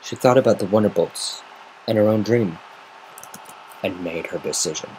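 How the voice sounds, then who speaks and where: A man reading a story aloud as an amateur, in measured, expressive semi-dramatic narration. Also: lowish voice. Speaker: amateur reader of fan fiction. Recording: noisy amateur home recording.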